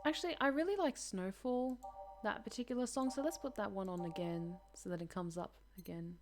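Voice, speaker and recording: A woman's voice: mid-range voice, fairly quick, soft background music. A woman streaming a game, chatting casually with her viewers, self-deprecating and talkative. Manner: chatty, self-deprecating, reads chat aloud.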